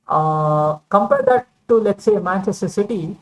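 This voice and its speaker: A woman's voice: mid-range voice, expressive, measured pace, rough recording. A woman talking football in a casual vlog monologue, rambling and hedging with many fillers and self-corrections. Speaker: football vlogger.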